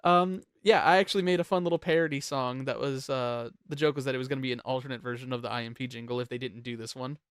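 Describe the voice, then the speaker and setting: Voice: mid-range voice, steady tone, fast talker, hardly any pauses, smooth, dry recording, studio quality. A host chatting casually while ranking songs on a panel show, jokey, profane and talkative.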